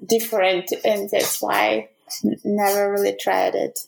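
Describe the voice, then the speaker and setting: A woman's voice: medium pitch, flat delivery, a little slow, vocal fry, echoey room, rough recording. Ukrainian woman chatting casually as a guest, laughs a lot, keeps restarting her sentences. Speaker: interview guest. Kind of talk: casual conversation.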